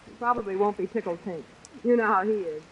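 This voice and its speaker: A woman acting as a bright young secretary in a 1940s radio drama, brisk, flippant and harried. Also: mid-range voice, lo-fi sound, close to an everyday voice. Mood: brisk, wry. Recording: hissy vintage radio recording.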